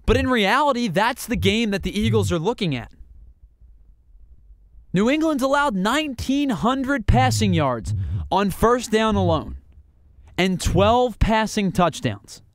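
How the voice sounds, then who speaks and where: A man with a fairly high voice hosts a sports podcast, talking through his analysis alone in an emphatic, building way. He pushes his points with rhetorical questions and repetition. The sound is close and dry, with no room around it. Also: very animated, measured pace, dry recording. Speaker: podcast host. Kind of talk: solo sports analysis monologue.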